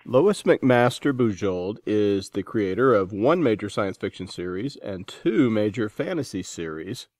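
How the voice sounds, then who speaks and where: Low-voiced man hosting a podcast, very dry sound. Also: darkish tone, no echo. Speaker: podcast host. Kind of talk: scripted podcast narration and interview introduction.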